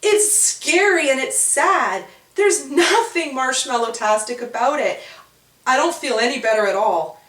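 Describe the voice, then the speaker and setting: Storybook kid sister, played by a woman: high voice, plaintive, doubting, protesting, roomy sound. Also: measured pace, a bit bright. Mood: upset protest. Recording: roomy, distant from the mic.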